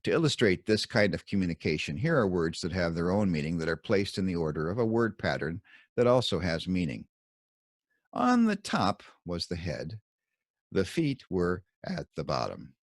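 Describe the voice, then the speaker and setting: Male narrator for scripture commentary: lowish pitch, very animated intonation, deliberate emphatic delivery, dry recording. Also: no room sound. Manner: deliberate, emphatic, dramatic phrasing with pointed pauses. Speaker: author reading his own commentary.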